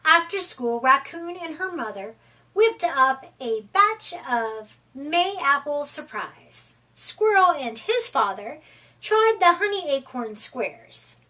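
A middle-aged woman reading a children's picture book aloud in an expressive, deliberate storytelling manner. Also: high voice. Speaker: storytime reader. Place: small room at home, indoors. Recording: slightly distant microphone.

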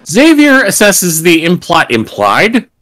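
A man's voice: high-pitched, wildly swooping pitch, slow pace, a bit bright. A man reading a script aloud at a table read on a video call, irreverent and jokey, with sweary asides. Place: home room on a group video call.

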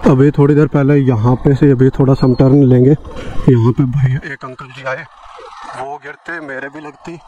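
A man giving a relaxed, casual running commentary while riding a motorcycle on rural roads. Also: medium pitch, normal speed. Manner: chatty, relaxed, friendly with locals. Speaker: motorcycle travel vlogger. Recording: muffled mic under loud road and wind noise.

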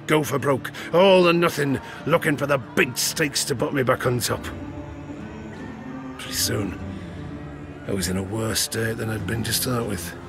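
An actor playing a rueful, ruined old harpooner and gambler with a British accent, spinning a folksy, gravelly-voiced yarn in an audio drama. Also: male voice, mid-range voice, natural voice. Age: older. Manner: folksy, rustic, rambling tavern yarn-spinning. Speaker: storyteller. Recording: studio drama with music behind. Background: music.

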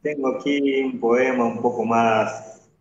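A man's voice: mid-range voice, fairly even intonation, unhurried, a little hiss or hum. A young man reading a poem as a guest poet, on an echoey, dull video call from a bare room. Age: young adult. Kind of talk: poetry reading.

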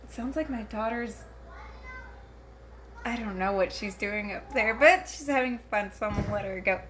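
A woman's voice: medium pitch, moderate pace, noisy background. A woman, chatting casually at home, rambling with lots of fillers and restarts and giggly asides. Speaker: vlogger reviewing a convention. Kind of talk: casual talking-to-camera chat. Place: home, her daughter heard elsewhere in the house.

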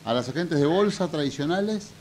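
A man's voice: fairly high pitch, animated, brisk, a crowd in the background. An older man with an Argentine accent hosting a radio interview, asking colloquial questions and often restarting them. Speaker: radio host. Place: radio studio. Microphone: studio boom mic with headphones.

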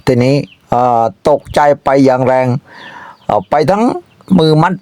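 A man telling an animal folk tale informally, in halting, repetitive phrases with spoken sound effects. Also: medium pitch, sing-song, dark tone, no echo. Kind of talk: informal, improvised animal storytelling.

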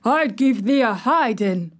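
A woman voicing a grumpy old male gardener with a Yorkshire accent, shouting and scolding in a storybook reading. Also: a little high, expressive, a little slow.

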